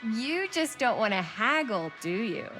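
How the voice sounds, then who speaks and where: Young American woman, wry game heroine, higher pitch, very animated delivery, teasing, emphatic. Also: measured pace, soft background music. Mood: playful, coaxing. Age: young adult. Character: wry, driven young woman amateur horror filmmaker. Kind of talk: naturalistic video game acting.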